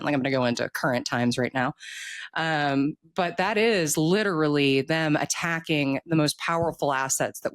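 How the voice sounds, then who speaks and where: An author with an American accent talking casually in an interview, explaining opinions in an animated way and often checking in with the listener. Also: mid-range voice, no echo.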